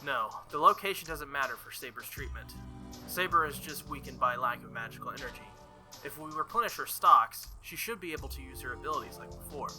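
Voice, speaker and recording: A man's voice: mid-range voice, a little fast, pushed and bright, soft background music. A man reading the lines of a confident young woman mage from a visual novel, explaining matter-of-factly.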